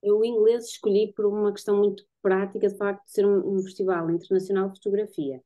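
A woman's voice: mid-range voice, level tone, quick pace, dark tone. A woman in her thirties with a European Portuguese accent, thoughtfully explaining her work in conversation, searching for her words. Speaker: guest photographer and artist. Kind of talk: casual explanatory conversation about own work. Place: home bedroom-living room, indoors. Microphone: computer mic on a video call. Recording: dull, lo-fi video-call audio.

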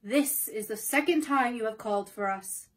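A woman voicing a dignified winged monkey king in a storybook reading, speaking slowly and formally. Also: a little high, a bit bright, close to an everyday voice. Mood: grave.

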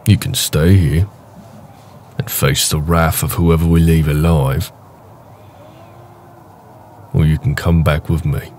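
A man playing a big, gruff, protective orc raider with a wry, casual manner in a fantasy roleplay audio drama. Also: a bass voice, fairly even intonation, slow pace, long pauses, a little mellow, slight background noise. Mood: firm, matter-of-fact. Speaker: voice actor.

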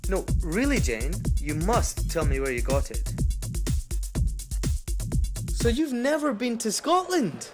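A man's voice: high-pitched, big pitch swings, slow, long pauses, over music. A man doing voice-over for adverts, acting out sales scripts with theatrical, emphatic delivery. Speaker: voice-over actor.

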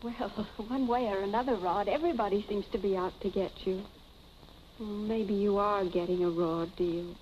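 Actress as a composed young wife, noisy old film. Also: female voice, mid-range voice, steady tone, normal speed, lo-fi sound, natural voice. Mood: wry. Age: young adult. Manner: composed, direct. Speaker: film actor. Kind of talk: 1940s film acting. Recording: noisy vintage film soundtrack.

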